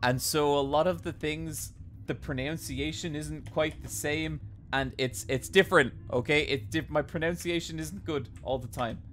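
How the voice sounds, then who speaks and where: A man's voice: on the high side, steady tone, moderate pace, fairly bright tone, faint music behind. Chatty Irish-accented man streaming a game. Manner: chatty, reads and answers chat, swears casually. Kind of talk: casual live-stream commentary while playing. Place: home bedroom. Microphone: desk mic with webcam.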